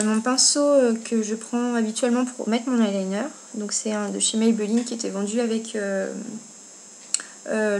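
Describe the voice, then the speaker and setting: Girl doing a makeup tutorial at home, highish voice, thinking out loud, bit of noise behind her. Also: gravelly. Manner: informal, thinking aloud as she works. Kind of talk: casual step-by-step tutorial chat. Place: indoors at home. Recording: noticeable background noise, amateur home video.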